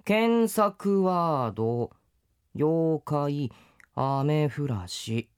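A woman's voice: low voice, animated, very slow, a little mellow, clean tone, full character voice. A woman voicing a cocky, breezy rain yokai in the shape of a schoolboy, acted for an audio drama. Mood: nonchalant, teasing.

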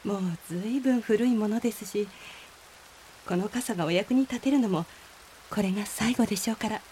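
Actress as a gentle mellow woman, faint hiss behind. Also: mid-range voice, fairly quick, close to an everyday voice. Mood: gentle, wistful. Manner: soft, courteous, wistful. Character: gentle, polite mysterious woman, a rain spirit. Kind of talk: radio drama acting.

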